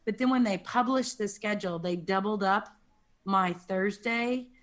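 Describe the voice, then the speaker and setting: Raspy low-voiced woman chatting. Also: a little slow. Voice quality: deep raspy voice. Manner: chatty, tells anecdotes with quoted asides. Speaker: quilt teacher and guest. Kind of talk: casual chat.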